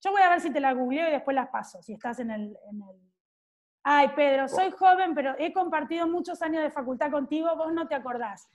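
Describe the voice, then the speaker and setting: A woman's voice: high voice, animated, brisk, darkish tone. A woman in her forties with an Argentine accent, giving an online law class in a chatty way, joking with students by name. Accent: Argentine Spanish. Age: 40s. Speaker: law lecturer.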